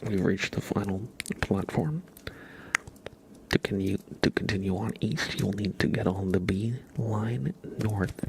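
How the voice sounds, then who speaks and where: Man putting on a nasal, low train intercom announcer. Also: background noise. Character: calm, polite male train intercom announcer. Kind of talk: ASMR transit announcement roleplay.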